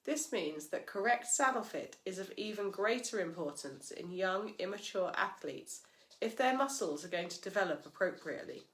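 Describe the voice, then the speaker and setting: A woman narrates from a script in a measured, formal way. Her pitch sits in the middle range for a woman. The recording is echoey, with clear room sound around her voice. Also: a bit bright. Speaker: host and narrator, physiotherapist. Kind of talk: scripted narration, read aloud.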